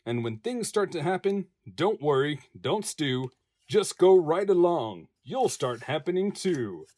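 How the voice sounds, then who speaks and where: A man narrating a rhyming picture book expressively, in a measured, sing-song manner. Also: on the high side, very animated, a little mellow. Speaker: voice-over narrator.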